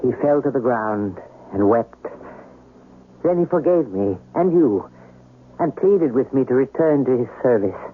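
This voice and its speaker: An actor playing a loyal servant in a 1970s radio drama, grave and formal, with a moralizing, declamatory manner. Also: male voice, medium pitch, animated, unhurried, slightly rough. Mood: grave concern. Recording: phone-like noisy vintage radio.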